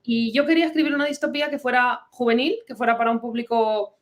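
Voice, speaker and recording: A woman from Madrid speaks as a guest on a book podcast, relaxed and chatty, and often laughs lightly. Her voice sits a little higher than most women's. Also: quick pace. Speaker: guest, historian and novelist. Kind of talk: casual interview.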